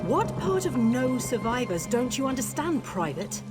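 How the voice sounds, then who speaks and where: A woman's voice: high voice, sing-song, moderate pace. An actress playing a harsh female military officer in a fantasy game, stern and commanding. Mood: contemptuous anger. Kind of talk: fantasy video game dialogue. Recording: game music behind the voice.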